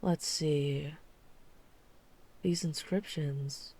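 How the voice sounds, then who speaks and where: A woman playing a dry-witted female vampire hunter in an urban fantasy ASMR roleplay, speaking in a measured, deliberate storytelling manner. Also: low-pitched, fairly even intonation, mellow, heavily acted. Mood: grave, wry.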